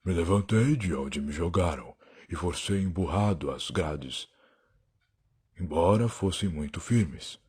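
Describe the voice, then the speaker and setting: A Brazilian man playing a weary, defiant alien time-traveler in an audio drama, husky and gravelly, with a brooding, sarcastic, theatrical delivery. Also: low-pitched, lively intonation, measured pace, darkish tone, exaggerated voice. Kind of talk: dramatic first-person audio drama narration.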